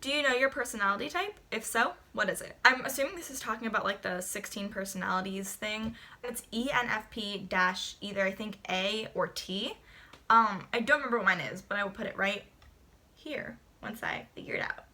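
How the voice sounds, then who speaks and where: A woman's voice: mid-range voice, fairly quick, pushed and bright. A young woman chatting casually in a get-to-know-me vlog, rambling with lots of fillers. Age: young adult. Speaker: vlogger.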